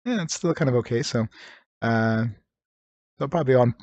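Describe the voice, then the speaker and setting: A man's voice: mid-range voice, normal speed, darkish tone, no echo. A man giving casual let's-play commentary, slightly nasal and congested as his voice recovers, rambling with chuckles and fillers. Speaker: game streamer.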